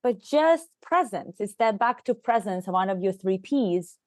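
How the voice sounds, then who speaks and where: A middle-aged woman whose first language is Russian talks through her coaching ideas in an earnest, reflective way, restarting her phrases as she goes. Her voice sits at an ordinary pitch and is recorded close and dry, with no room sound. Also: expressive, a little slow, a little mellow, no echo. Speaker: guest, leadership coach. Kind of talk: podcast conversation.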